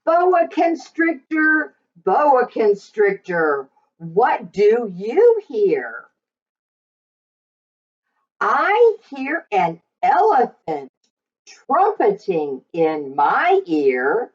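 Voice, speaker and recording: A woman reading a picture book aloud to young children in an expressive, sing-song way. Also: on the high side, extremely slow. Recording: slightly dull, lo-fi recording.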